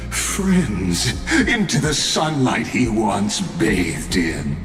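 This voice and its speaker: An actor voicing a condescending, philosophical machine villain in a video game, with a grandiose, theatrical and deliberate delivery. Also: male voice, lowish voice, huge pitch swings, full character voice. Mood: condescending calm. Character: condescending, philosophical male machine mastermind, calmly godlike villain. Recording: roomy echo under game music.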